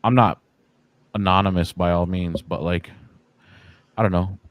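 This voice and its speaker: A man's voice: sits low, fairly even intonation, unhurried, no echo. A man in his forties, a podcast guest talking casually in a laid-back, slangy, profane way with lots of fillers. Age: 40s. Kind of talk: casual chat.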